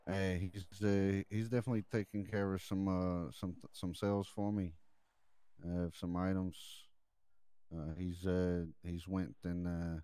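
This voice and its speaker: A man playing a deferential mobster reporting to his boss in improvised crime role-play, halting and repeating words as he goes. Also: sits low, little pitch movement, warm tone, smooth, no room sound. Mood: defensive, loyal. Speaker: role-play gamer playing a mob underling.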